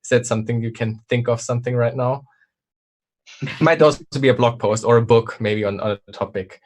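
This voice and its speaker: Guest, man, mid pitch, hesitant, thoughtful, fills pauses with "like". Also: fairly even intonation, darkish tone. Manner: hesitant, many fillers, thoughtful. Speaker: guest, video maker. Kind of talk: casual chat.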